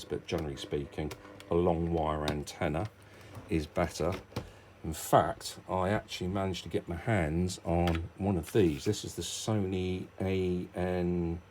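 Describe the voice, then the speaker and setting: Deep-voiced British man, informal and rambling. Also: dark tone, slight background noise. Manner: rambling, informal, thinking aloud. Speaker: amateur radio hobbyist presenter. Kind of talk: casual explanatory hobby talk to camera.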